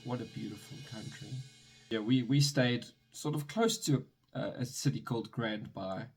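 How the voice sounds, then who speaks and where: A young South African man talking in a relaxed, rambling way, often stopping to correct himself mid-sentence. His voice sits at an ordinary middle pitch. A guitar track plays clearly behind him. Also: moderate pace. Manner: rambling, self-correcting, chatty. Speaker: vlogger. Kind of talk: casual talk to camera. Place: his bedroom.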